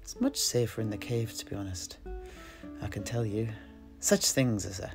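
A man's voice: lowish voice, sing-song, normal speed. Male fantasy storyteller over guitar music. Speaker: in-character storyteller. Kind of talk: in-character fantasy storytelling, conversational. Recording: music behind the voice. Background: plucked guitar music.

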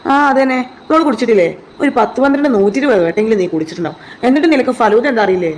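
Someone voicing a very fast-talking neighbour woman. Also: mid-range voice, expressive, a little hiss or hum, natural voice. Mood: scolding. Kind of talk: amateur cartoon dubbing.

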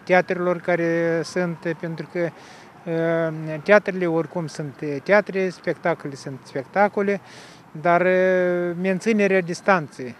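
Man in his 60s, interviewed passer-by, very slow, hesitant, faint outdoor noise. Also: sits high, steady tone. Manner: halting, hesitant. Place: city park, outdoors. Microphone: handheld reporter microphone.

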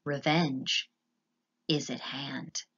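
A woman's voice: a bass voice, fairly even intonation, slightly rough, dull audio, heavily acted. A woman voicing a scheming, vengeful male rat in a storybook, theatrical and sly. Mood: gloating, hushed. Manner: hushed, drawn-out, theatrical.

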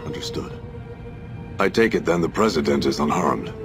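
A commanding resistance leader in a sci-fi game cutscene. His voice is extremely deep, his orders clipped and stern, with loud music behind him. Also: expressive, moderate pace. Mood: stern, calm. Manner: clipped, authoritative. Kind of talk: video game cutscene acting.

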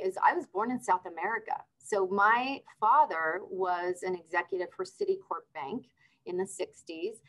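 A woman's voice: mid-range voice, a bit bright, rough recording. A middle-aged woman, born in Argentina, telling her life story as a guest on a video-call interview. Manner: upbeat, explanatory, storytelling. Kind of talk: casual interview talk. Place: office with window blinds.

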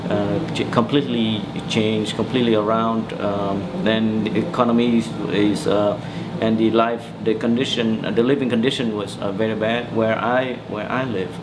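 A man in his forties from Vietnam with a Vietnamese accent, recounting memories in a halting, hesitant way as he searches for words in an interview. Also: lowish voice, fairly even intonation, background noise. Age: 40s. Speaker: interviewee, musician. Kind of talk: oral history interview, personal recollection. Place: small bedroom, indoors.

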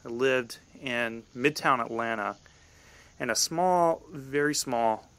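A man in his thirties with a gravelly voice, telling a personal story conversationally as a podcast host. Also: medium pitch, slow pace, fairly bright tone.

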